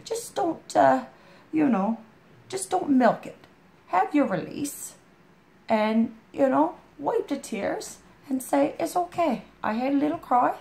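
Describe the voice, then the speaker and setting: A hoarse woman with a chest cold, rambling in a folksy, heartfelt way as she chats to a friend. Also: high voice, lively intonation, takes it slowly, warm tone. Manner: rambling, folksy, full of "you know". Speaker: storytime vlogger. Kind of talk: casual heartfelt chat to a friend.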